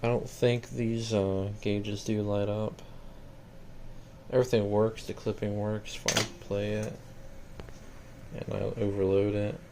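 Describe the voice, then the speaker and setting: Guy doing a casual gear demo, lowish voice, plain spoken, noisy home video sound. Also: flat delivery, unhurried, pauses often. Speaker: seller demonstrating equipment. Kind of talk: casual product walkthrough.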